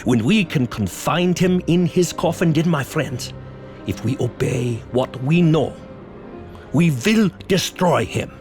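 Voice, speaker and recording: An actor playing a grave older professor who hunts vampires, speaking in solemn, deliberate oratory in a horror audio drama. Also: male voice, fairly high pitch, lively intonation, darkish tone. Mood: grave, urgent. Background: music.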